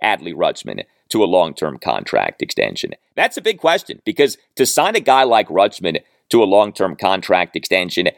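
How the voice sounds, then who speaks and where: A male sports commentator speaks alone as a podcast host. His voice is low and swings widely in pitch, and he leans hard on key phrases. The sound is dry. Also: wildly swooping pitch, moderate pace, vocal fry, few pauses, no room sound.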